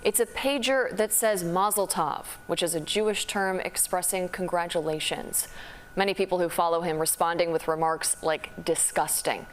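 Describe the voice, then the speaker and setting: A woman's voice: fairly low pitch, steady tone, normal speed, a little hiss or hum. A woman with an American accent delivering a scripted live report as a news reporter.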